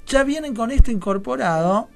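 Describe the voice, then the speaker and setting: A man's voice: very high-pitched, expressive, moderate pace, gravelly. An Argentine man in a reflective radio discussion as a guest theatre director, philosophizing and often checking for agreement. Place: radio studio.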